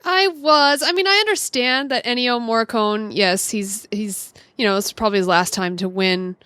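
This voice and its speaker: A woman in her mid-thirties chats casually on a podcast. Her voice is high and bright. The recording is dry and free of room sound. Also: normal speed, no echo. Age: 30s. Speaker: podcast co-host. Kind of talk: casual chat.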